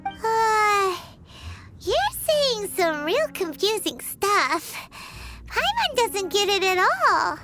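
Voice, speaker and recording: A woman voicing a small, cheerful, childlike fairy companion in a video game, squeaky, perky and curious. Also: very animated, extremely slow, loud music behind the voice, exaggerated voice. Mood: puzzled. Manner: perky, exclaiming, refers to herself by name.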